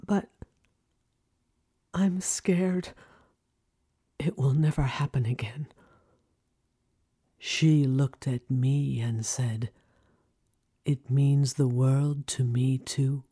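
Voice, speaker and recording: A woman playing a grieving grown daughter, narrating an intimate audio drama about memories of home with tender, dramatic pauses. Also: rumbling low, warm tone, slightly rough, full character voice. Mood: tender, hushed. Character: grieving grown daughter recalling her childhood home with wonder. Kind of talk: intimate first-person audio drama monologue.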